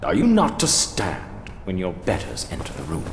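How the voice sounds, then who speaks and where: A man playing a haughty, cruel human lord and slave master in an animated fantasy game, pompous, condescending and menacing in a theatrical way. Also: low-pitched, normal speed, slight background noise, full character voice. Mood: condescending, smug. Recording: echoey hall reverb under music.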